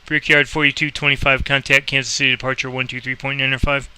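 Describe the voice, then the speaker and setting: Male game tutorial host, informal and rambling. Crisp, very forward tone, little melodic movement, switches into controller phrasing. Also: medium pitch, little pitch movement, fast, bright, forceful tone. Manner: informal, rambling, thinking aloud. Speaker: host explaining a game and playing air traffic controller. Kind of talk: casual screen-recorded game tutorial with radio calls.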